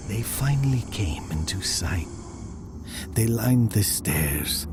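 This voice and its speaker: A man narrates a horror tale in a hushed, deliberate way. His creaky voice moves slowly but with lively intonation, often thinning to near whispers over a noisy ambient bed. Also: low voice. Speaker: story narrator. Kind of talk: dramatic first-person horror narration.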